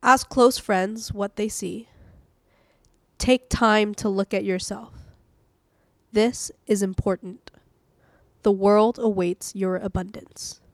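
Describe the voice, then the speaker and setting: A woman hosting a podcast, reading an audio devotional in a calm, measured, earnest way. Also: mid-range voice, no echo, studio quality. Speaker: devotional podcast host and reader.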